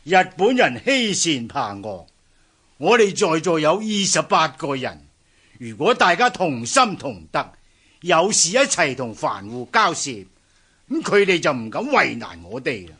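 High-pitched, bold merchant leader. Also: male voice, very animated, unhurried, bright, forceful tone, breathy edge, heavily acted. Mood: rallying. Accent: Hong Kong Cantonese. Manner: hearty, declamatory. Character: bold, ambitious eldest sworn brother, merchant leader. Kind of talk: Cantonese historical radio drama acting.

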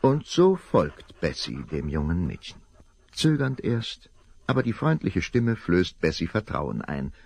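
A man narrating a children's Western radio play in a measured, expressive storytelling manner. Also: deep voice, gravelly, a little hiss or hum.

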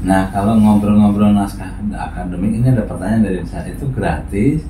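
40s guy hosting a sit-down interview, lowish voice, lots of quick okays, echoey room with noise. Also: mellow. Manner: frequent short acknowledgements, addresses the audience. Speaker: host. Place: small office room, indoors. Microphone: camera across the room. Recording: echoey room, far from mic, noisy.

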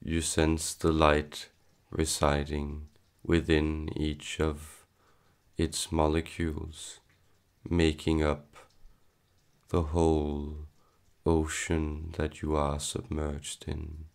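Man, guided meditation, gravelly bass, utterly flat intonation, solemn, hypnotic, halting short phrases. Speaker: channeler leading a guided meditation. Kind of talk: slow, soothing guided meditation.

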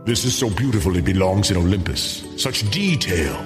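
A man's voice: low-pitched, sing-song, pitched below the performer's own voice. A man voicing a mighty, imperious king of the gods in a grandiose manner for an audio story. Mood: awed, halting. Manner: halting, grandiose. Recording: long cavernous reverb over loud music.

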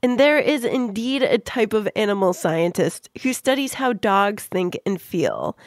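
A woman hosting a kids' science podcast, explaining things clearly and simply in a conversational way. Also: medium pitch, sing-song, measured pace, dry recording, studio quality. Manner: clear simple explaining, addressing young listeners. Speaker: podcast host.

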